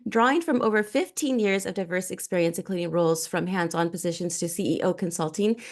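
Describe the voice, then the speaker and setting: A woman in her forties hosting a podcast interview, bubbly and quick to laugh and exclaim. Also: mid-range voice, a little mellow. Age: 40s. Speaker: podcast host. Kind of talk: casual interview chat.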